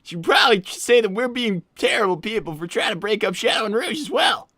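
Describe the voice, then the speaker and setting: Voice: medium pitch, lively intonation, a little fast, pushed and bright, no room sound, clean studio sound. A performer voicing a nervous, awkward young boy fox who stammers and rambles earnestly in improvised cartoon roleplay. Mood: earnest, plotting.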